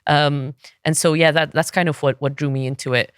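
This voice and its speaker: A woman in her thirties from Egypt whose first language is Arabic speaks as a guest in a relaxed interview. Her voice is smooth and clear, and she often restarts her sentences. The sound is dry and close, with no room echo. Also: sits low, brisk. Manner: thoughtful, frequent restarts and fillers. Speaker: podcast guest, SEO consultant. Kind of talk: casual interview talk.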